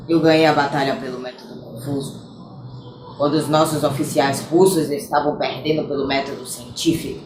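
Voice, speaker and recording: A woman with a Brazilian accent plays a vain young Bulgarian major, proud and defensive about his bravery. The voice sits very low. It echoes around a room with clear background noise. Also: fairly even intonation, a little fast, lightly acted. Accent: Brazilian Portuguese. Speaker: acting student. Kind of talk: mock-documentary stage acting.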